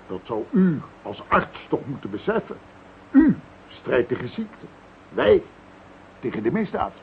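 A man acting a firm, courteous police commissioner in a radio play, speaking in a measured, formal way. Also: mid-range voice, sing-song, vocal fry, phone-quality sound. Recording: thin band-limited radio drama recording, slight noise.

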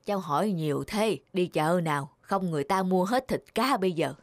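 A woman's voice: fairly low pitch, expressive, fairly quick, no room sound. Stubborn old grandmother, close and dry. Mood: grumbling. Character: stubborn, gruff elderly grandmother, secretly soft-hearted. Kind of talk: animated film dialogue. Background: music.

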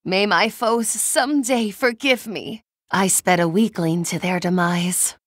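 A woman's voice: mid-range voice, measured pace, no echo, clean studio sound, lightly acted. A woman voicing a meek, self-deprecating young noblewoman in a video game, gloomy and apologetic, with subdued, formal speech. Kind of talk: video game battle voice clips.

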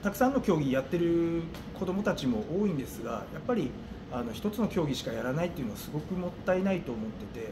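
A middle-aged man giving interview answers as a gym coach, thinking aloud with hesitant pauses. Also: fairly high pitch, sing-song. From Japan. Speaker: gym owner and martial arts coach. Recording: clearly noisy room ambience.

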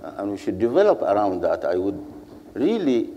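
An older man with a Sudanese accent speaking as a panelist, in a measured, deliberate way with earnest rhetorical repetition. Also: on the low side, big pitch swings, mellow, dull audio, a little hiss or hum. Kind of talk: panel speech, earnest public address. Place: seated on a stage in a large hall. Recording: echoey hall with long reverb.

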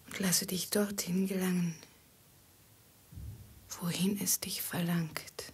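An actress playing a forsaken, devoted lover, whispering tenderly in a 1950s radio play. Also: female voice, lowish voice, flat delivery, a little mellow, heavily acted. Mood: tender sorrow. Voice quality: breathy whisper. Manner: slow, tender, poetic. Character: forsaken, devoted young lover grieving softly.

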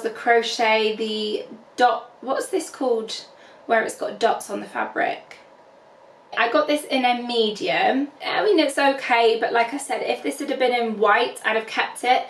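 A woman's voice: on the high side, a bit bright. A young woman chatting casually to camera about clothes she is trying on, recorded in her bedroom on a camera a few feet away. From England. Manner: chatty, candid, gestures while holding up clothes. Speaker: fashion vlogger. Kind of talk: casual try-on haul chat. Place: bedroom at home. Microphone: camera on tripod at a few feet. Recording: roomy bedroom echo with music.

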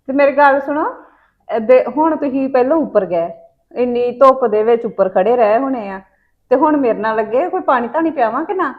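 Skit lead: woman playing a breezy young wife, playful and teasing, higher voice, lo-fi sound. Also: fairly quick, lightly acted. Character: cheerful young wife, easygoing. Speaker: comedy sketch actor.

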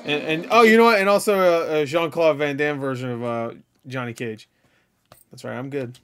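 Animated, foul-mouthed man reacting casually. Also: on the high side, normal speed, clean tone. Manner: profane, animated, joking. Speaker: reaction video host. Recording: game audio playing behind voice. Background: video game dialogue and music.

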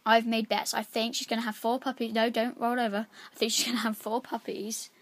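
Croaky teen girl vlogging. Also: fairly high pitch, little pitch movement, a little fast. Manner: chatty, rambling, lots of "like". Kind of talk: casual vlog. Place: bedroom, then back garden. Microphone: phone held at arm's length.

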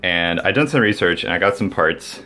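A man with a low voice narrates a hands-on hobby video. He speaks informally and with enthusiasm, addressing viewers directly. It sounds like a homemade vlog recorded in a room at home. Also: animated, normal speed, sharp tone. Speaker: DIY video maker, host. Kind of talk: casual hobby project vlog, talking to viewers. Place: indoors, small home room.

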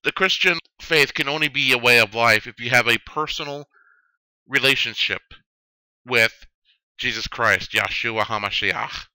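A man's voice: mid-range voice, sharp tone, clean tone, no room sound, poor audio. Earnest man in his thirties testifying. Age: 30s. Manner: solemn, drawn-out preaching cadence with sudden stresses. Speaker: video testimony speaker. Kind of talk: earnest religious testimony monologue.

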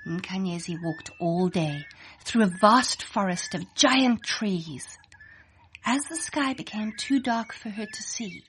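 A woman narrating a scripted children's story in an expressive read-aloud manner. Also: medium pitch, takes it slowly, a little hiss or hum, rough recording.

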